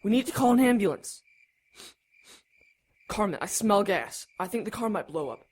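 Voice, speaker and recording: An anxious teenage brother in an audio drama, acted by a woman. The voice sits at an average female pitch and sounds earnest and protective, with halting, literal-minded urgency. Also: expressive, a little mellow, slightly rough. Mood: worried, awkward.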